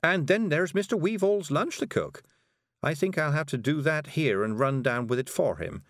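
A man's voice: a little high, animated, moderate pace, no room sound, clean studio sound, voice pushed up, close to an everyday voice. A man voicing a kindly, fretful, busy woman witch from a storybook, in a fussy, earnest manner.

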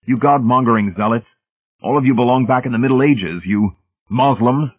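A man's voice: lowish voice, brisk, husky. A stubborn, hot-tempered engineer, voiced by a male reader argues in an indignant, combative way. It is storybook dialogue with a narrow, phone-like sound. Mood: accusing.

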